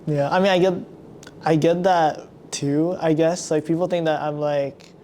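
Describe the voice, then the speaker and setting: A man's voice: fairly high pitch, fairly even intonation, moderate pace, warm tone, a little hiss or hum. A young man from Indonesia hosting a podcast, chatting casually and filling his sentences with lots of "like". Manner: chatty, filler-heavy, lots of "like". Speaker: podcast host.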